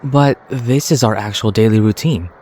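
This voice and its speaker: A man playing a calm, wry young adventurer in game dialogue, casual and understated. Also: lowish voice, moderate pace, a little hiss or hum, close to an everyday voice. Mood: relaxed, musing.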